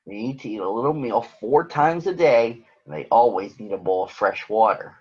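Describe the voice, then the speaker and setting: A man's voice: medium pitch, normal speed, a little mellow, husky, highs cut off, natural voice. A man voicing a sensible, helpful little girl from a children's storybook, matter-of-fact and instructive. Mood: sensible, concerned.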